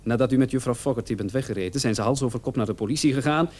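Man acting a police officer, mid-pitched voice, shrewd, persistent, measured, insinuating, radio drama interrogation. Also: darkish tone, slightly rough, lightly acted. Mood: probing, pointed.

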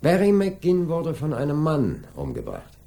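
An actor playing a calm, methodical police inspector in a radio crime drama, questioning in a measured, insistent way. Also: male voice, a little high, gravelly, heavily acted. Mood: measured, probing. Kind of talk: 1980s German radio crime drama acting.

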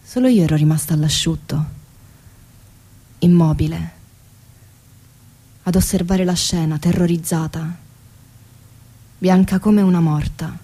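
A woman's voice: on the low side, steady tone, measured pace, pauses often, warm tone, breathy edge. An actress playing a weary woman who works on a car assembly line, narrating a radio theatre monologue in short clipped phrases. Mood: reflective. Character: weary female car factory assembly-line worker telling her story.

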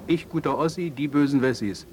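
A man playing a wordy, deliberate questioner who probes carefully in an interview within an audio drama. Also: mid-range voice, slight background noise, natural voice. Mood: probing. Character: probing, opinionated questioner.

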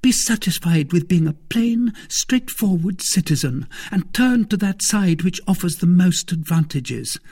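An indignant, sharp-tongued young wife played by a man from a period adventure audiobook. The voice is pushed high and swoops expressively, with a husky edge. She scolds in haughty exclamations. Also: expressive, unhurried, hardly any pauses, heavily acted. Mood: urgent, insistent.

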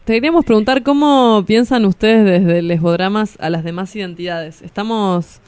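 A woman's voice: medium pitch, moderate pace, darkish tone, clean tone, dry recording. An Argentine woman co-hosting a radio show, asking questions in a casual conversational style. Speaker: radio co-host, interviewer.

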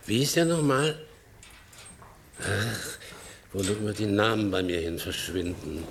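An actor playing a weary, grumbling older farmhand father with a gravelly voice in a German radio drama. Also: lowish voice, measured pace, a bit bright, a little hiss or hum. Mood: musing. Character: weary ageing farmhand father, plain-spoken, grumbling yet wistful.

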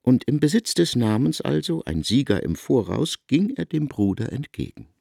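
A man's voice: medium pitch, big pitch swings, normal speed, creaky, mellow, no echo, clean studio sound. A man narrating a literary radio drama in a formal, articulate storytelling manner.

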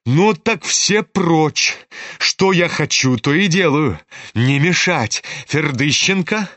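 A man's voice: fairly high pitch, expressive, slow, a bit bright, no room sound. A man reading an audiobook, voicing a proud, reckless young woman who taunts and commands. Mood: taunting, commanding. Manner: imperious, taunting.

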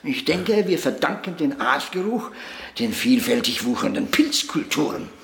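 An actor playing an eccentric, boastful, cantankerous old avant-garde puppeteer in a radio drama, lecturing grandly and theatrically. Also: male voice, on the high side, sing-song, normal speed, gravelly, a bit bright, exaggerated voice. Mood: proud, reminiscing. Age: elderly. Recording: echoey room.

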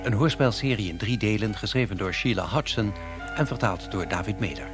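A man's voice: lowish voice, fairly quick. A man announcing a radio drama serial in a scripted style. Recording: music behind the voice. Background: music.

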